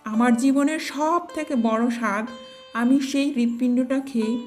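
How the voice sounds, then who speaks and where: Demanding cartoon crocodile wife, female narrator performing, high voice, wheedling and insistent, music underneath. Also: unhurried. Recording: music behind the voice.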